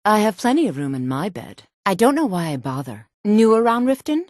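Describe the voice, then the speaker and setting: A woman voicing a sultry, sharp-tongued, disdainful townswoman and courtier in a fantasy video game. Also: mid-range voice, very animated, brisk, dry recording, studio quality, close to an everyday voice. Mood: dismissive, sardonic. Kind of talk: video game character dialogue.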